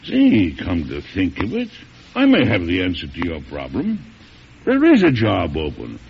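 An actor playing a shrewd, avuncular elderly American president in an old-time radio drama, with a gravelly, husky voice and emphatic delivery. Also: male voice, low voice, wildly swooping pitch, normal speed, a little hiss or hum, heavily acted. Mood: kindly. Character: folksy, shrewd, strong-willed elderly male US president. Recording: dull, muffled vintage radio recording.

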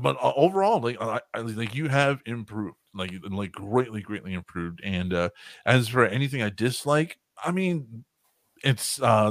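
A man with a deep voice hosting a casual streamed show, rambling with fillers and restarts as he gives feedback. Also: no echo, clean studio sound. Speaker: podcast host reviewing a song. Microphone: streaming mic with heavy bass cut.

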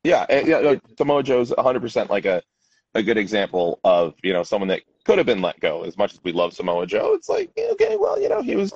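A man's voice: on the low side, huge pitch swings, fast talker, darkish tone, husky, no room sound, muffled recording. A man co-hosting a casual wrestling podcast, agreeable and hesitant, often trailing off and restarting. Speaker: podcast co-host.

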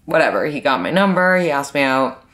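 A woman's voice: extremely low pitch, big pitch swings, a little fast, a bit bright. A young woman co-hosting a casual podcast chat, telling dating stories with lots of "like" and acted-out quotes. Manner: chatty, lots of "like", acts out quotes.

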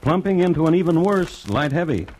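An actor playing an urbane, wry crime connoisseur, narrating a 1950s radio series in a droll, deliberate way. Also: male voice, fairly high pitch, expressive, a little mellow, slight background noise, lightly acted. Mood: droll, storytelling. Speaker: host and narrator.

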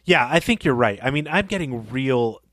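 A man co-hosting a podcast, discussing literature conversationally in thoughtful, measured and somewhat halting phrases. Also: mid-range voice, expressive, a bit bright, dry recording, clean studio sound. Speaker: podcast host.

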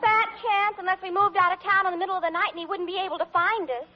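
An actress playing a pert, wheedling teenage American daughter in a 1940s radio comedy. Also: female voice, very high-pitched, steady tone, fast talker. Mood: scornful. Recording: dull old radio broadcast, faint audience.

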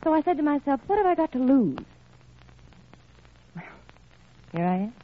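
An actress playing a friendly, encouraging young woman, gentle and reassuring, in old-time radio drama style. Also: high voice, sing-song, moderate pace. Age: young adult. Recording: tinny, band-limited old radio recording.

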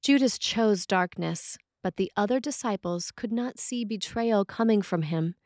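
A woman reading a scripted sermon in a measured, reflective way. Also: mid-range voice, a little mellow, no echo, clean studio sound. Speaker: sermon reader.

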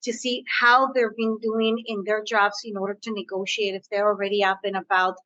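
Bright-voiced woman with a Spanish accent. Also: mid-range voice, flat delivery, brisk, dry recording, dull audio. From US-Mexico border region. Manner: earnest, repeats words while thinking. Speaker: guest, negotiation coach. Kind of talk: interview answer.

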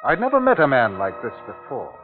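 An actor playing an earnest, alarmed district commissioner in 1950s radio drama, speaking gravely and urgently. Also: male voice, medium pitch, huge pitch swings, brisk. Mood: wondering. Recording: tinny 1950s radio recording with music.

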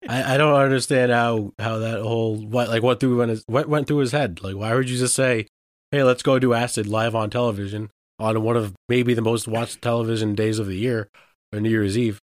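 A man co-hosting a podcast while he has a cold, chatting in a relaxed, rambling way. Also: medium pitch, brisk, dry recording, clean studio sound. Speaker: podcast co-host. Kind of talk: casual chat.

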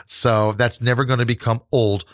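A man's voice: mid-range voice, level tone, moderate pace, no room sound, clean studio sound. A man, a guest in an interview, speaking thoughtfully and rambling with many fillers. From New York. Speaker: interview guest, New York tour guide. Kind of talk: casual interview conversation.

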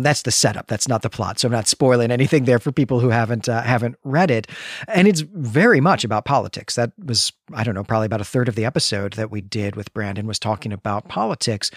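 Male podcast co-host, mid-pitched, chatting analytically about literature, recorded dry. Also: no room sound. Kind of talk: conversational literary discussion.

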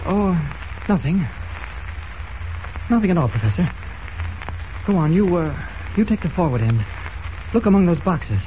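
An actor playing an earnest secret superhero posing as a reporter in a 1940s radio serial, brisk and thinking aloud. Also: male voice, high-pitched, very animated. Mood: guarded, hushed. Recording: noisy, muffled old radio transcription.

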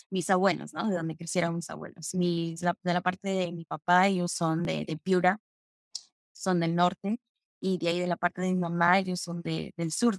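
A young woman from Peru telling stories about her childhood in a relaxed interview, slipping in English phrases. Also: fairly low pitch, no room sound. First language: Spanish. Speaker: guest. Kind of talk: conversational interview.

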